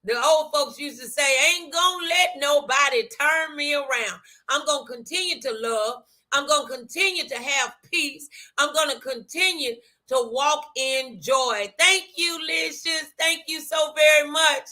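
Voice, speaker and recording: A high-voiced American woman with a bright, forceful tone gives a motivational faith talk. She is emphatic and folksy, repeating phrases to drive each point home and speaking straight to her viewers. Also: unhurried. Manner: folksy, colloquial, direct address. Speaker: host of an inspirational talk show.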